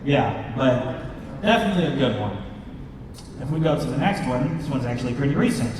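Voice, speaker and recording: A man in his thirties presents a panel from far off in a big, very echoey hall. His pitch is average and his talk is loose and joking, with clear noise around him. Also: lively intonation, normal speed. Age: 30s. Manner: joking, informal. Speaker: panel co-host, movie reviewer. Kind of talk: casual convention panel presentation. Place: convention panel room with audience, indoors.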